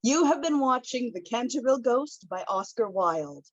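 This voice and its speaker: A woman's voice: a little high, lively intonation, moderate pace. A woman announcer reading scripted closing credits for a radio play. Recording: roomy, slightly dull recording.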